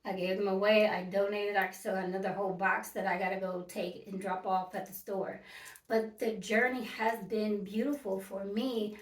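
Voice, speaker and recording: A woman's voice: mid-range voice, fairly even intonation, normal speed. A woman in her early fifties talking casually and directly to her viewers, emphatic and repeating her points. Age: 50s. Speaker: vlogger. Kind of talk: casual talk to camera. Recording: echoey room, mic at a distance.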